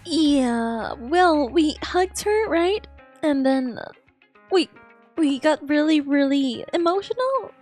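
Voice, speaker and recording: A woman live-streaming her excitable, emotional reaction to a game, exclaiming and casually swearing. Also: high voice, takes it slowly. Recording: game music behind. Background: game music.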